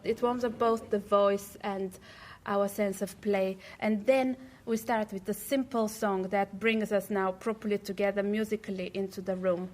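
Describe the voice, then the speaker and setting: A woman's voice: mid-range voice, fairly even intonation, normal speed, very noisy. A woman, a choir leader explaining her craft in an interview.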